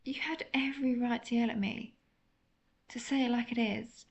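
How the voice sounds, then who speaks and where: A woman playing a lovesick, apologetic female vampire in an intimate audio roleplay, speaking in a hesitant, confessional, softly melancholic way. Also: fairly high pitch, fairly even intonation, moderate pace, gravelly. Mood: vulnerable, melancholic.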